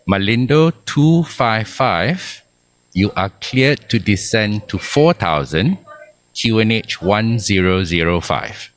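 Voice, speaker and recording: A man's voice: lowish voice, very animated, slow. A middle-aged man speaking as a guest in a live radio interview, polite and obliging. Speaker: guest, aviation authority chief and former pilot. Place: air traffic control tower, live broadcast.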